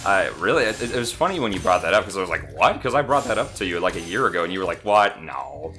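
Low voiced dude co-hosting a let's play, jokey, really up and down, game music under. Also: male voice, big pitch swings, fast talker, sharp tone. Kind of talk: casual joking gameplay banter.

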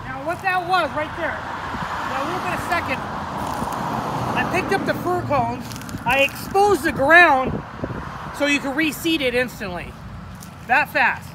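A man's voice: very high-pitched, animated, unhurried, pauses often. Chatty man over a roaring pressure washer. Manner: chatty, jokey, self-mocking. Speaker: landscaper. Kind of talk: casual demo commentary. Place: backyard outdoors. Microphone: camera mic over loud pressure washer. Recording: loud pressure washer noise outdoors.

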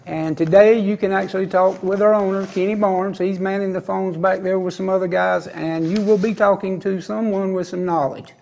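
A man's voice: sits high, flat delivery, normal speed, gravelly, hardly any pauses, a little mellow, slight background noise. A man hosting a TV shopping show, talking up items in folksy, chatty salesman banter from an indoor studio set on a lapel mic. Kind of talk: TV shopping pitch.

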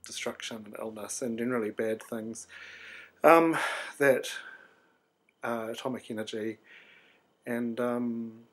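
A New man in his thirties talks through a video diary at a mid pitch. He sounds tired and drowsy, and his speech halts on ums and false starts as he thinks aloud. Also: fairly even intonation, a little slow, pauses often. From New Zealand. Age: 30s. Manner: hesitant, many ums and restarts. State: sleepy. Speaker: artist video diarist. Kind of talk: rambling video diary monologue.

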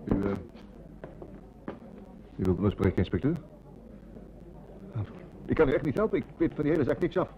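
An actor playing a nervous, flustered bank clerk in a radio play, hesitant and stammering. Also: male voice, mid-range voice, very animated, moderate pace, long pauses, muffled recording, background noise, lightly acted. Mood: nervous, evasive. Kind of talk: Dutch radio play acting.

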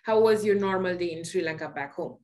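A woman's voice: on the low side, a little fast, darkish tone. Woman interviewer on a video call. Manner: asks several questions at once, hesitant fillers. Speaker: interviewer, series host. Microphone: video call webcam.

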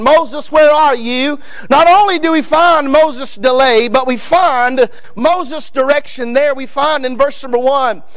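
High-voiced shouting Kentucky preacher man. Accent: Northern Kentucky. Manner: builds to shouting, repeats lines, addresses men in the pews by name. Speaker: guest preacher. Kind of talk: fiery Baptist sermon. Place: church sanctuary. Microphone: pulpit microphone.

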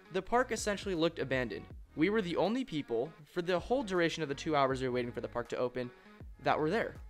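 A young man with an average-pitched voice tells a travel story as the voice-over for his own vlog. He sounds eager and keeps the tale moving like a storyteller. Faint music plays under his voice. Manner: excited, storytelling enthusiast. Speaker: coaster vlogger. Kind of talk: voice-over narration. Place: voice-over, not at the park.

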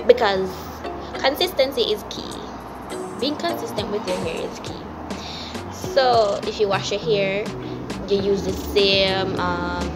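Young Jamaican-accented woman, casual and blunt, patois forms, over a loud music bed. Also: medium pitch. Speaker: vlogger answering viewer questions. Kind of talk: casual Q&A chat. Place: indoors against a plain wall. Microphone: camera or phone at arm's length.

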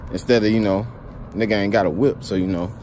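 A man's voice: on the low side, sing-song, unhurried, a little mellow. A young man vlogging into a phone held at arm's length as he walks down a street, rambling casually and asking "you feel me" over and over. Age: young adult. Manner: laid-back rambling, keeps saying "you feel me". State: slightly sick with a cold. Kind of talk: casual walking vlog monologue. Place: outdoors on a street on a sunny day. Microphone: phone held low at arm's length. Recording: outdoor street noise behind. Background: passing traffic.

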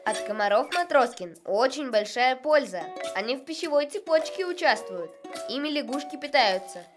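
An actor voicing a sensible young boy in an animated series, earnestly explaining and cautioning. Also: sits high, normal speed. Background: cartoon music.

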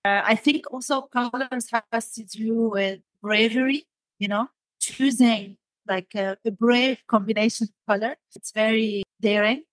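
A woman's voice: fairly high pitch, fairly even intonation, unhurried, dry recording, rough recording. A woman from Syria in English, speaking thoughtfully as an interview guest and often searching for words. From Damascus, Syria. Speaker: podcast guest, designer.

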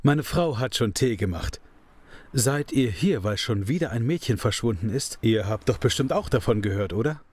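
A man's voice: medium pitch, animated, normal speed, a little mellow, breathy edge, no echo. An actor playing a friendly, hospitable father who welcomes guests in a fan audio drama. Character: friendly father, host offering tea. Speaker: father of missing girl.

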